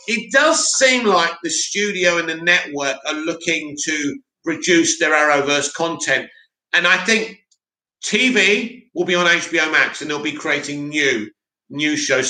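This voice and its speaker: A man hosting a live stream, reading out viewer comments and answering them in a loud, opinionated way with lots of restarts. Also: fairly high pitch. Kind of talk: casual live chat. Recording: echoey room.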